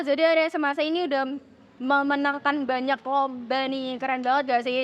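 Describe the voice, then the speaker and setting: A woman's voice: high-pitched, a bit bright. A teenage young woman, hosting a casual interview in chatty, slangy speech and addressing viewers. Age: teens. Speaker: student podcast host. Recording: dull lo-fi audio.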